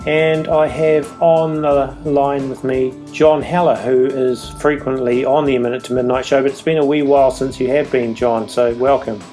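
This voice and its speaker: A man's voice: mid-range voice, fairly even intonation, normal speed, few pauses, mellow, faint music behind. A man with a New Zealand accent hosting a talk show, chatting in an easygoing, friendly way. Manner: easygoing, chatty, laughs along. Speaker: podcast host. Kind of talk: casual chat.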